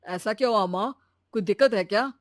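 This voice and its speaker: A woman's voice: medium pitch, moderate pace, no echo, studio quality, lightly acted. A woman voicing a supportive, reasonable young man in a moral story, earnest and reassuring. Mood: concerned. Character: supportive, reasonable young adult son and husband. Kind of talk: animated Hindi moral-story voice acting.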